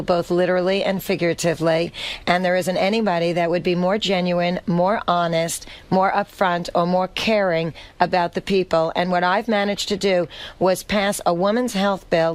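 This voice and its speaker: A woman, a radio studio guest and activist, speaking earnestly in long speechmaking stretches full of fillers and restarts. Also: lowish voice. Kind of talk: casual radio talk.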